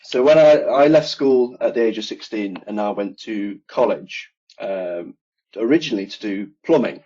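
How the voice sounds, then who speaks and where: A man in his thirties with an English Midlands accent, explaining his work as an air conditioning engineer in a relaxed interview over a video call. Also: fairly low pitch, normal speed. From England. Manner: relaxed, explains in detail with many ums. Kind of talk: casual interview conversation. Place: home room, video call. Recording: dull lo-fi video call audio.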